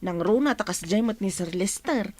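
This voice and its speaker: An actress with a Filipino Ilocano accent playing a chatty, frank household confidante in a radio drama. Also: female voice, medium pitch, normal speed, close to an everyday voice. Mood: confiding.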